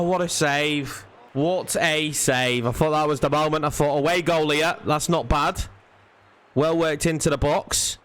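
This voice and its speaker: Young man, gaming commentator, slightly high voice, excitable play-by-play. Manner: excitable play-by-play, rising sharply on chances. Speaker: gaming YouTuber. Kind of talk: live commentary over a football video game.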